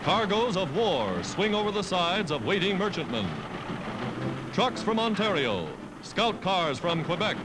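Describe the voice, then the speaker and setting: A man's voice: high voice, big pitch swings, measured pace, rough recording. A man narrating a 1940s wartime newsreel in a dramatic, declamatory manner, on a vintage film soundtrack. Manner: declamatory, dramatic scripted delivery. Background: loud music.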